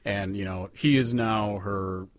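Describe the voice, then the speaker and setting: A man with a fairly low voice talks music as a podcast co-host. He is informal and loose, rambling and cursing casually, in a dry recording with little room sound. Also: steady tone, slow pace, no room sound.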